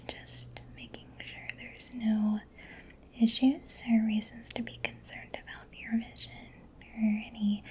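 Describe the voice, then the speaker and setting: A woman doing soft-spoken ASMR roleplay in a breathy whisper, gentle, attentive and reassuring, close to the microphone. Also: fairly high pitch. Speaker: ASMR performer. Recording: faint background noise.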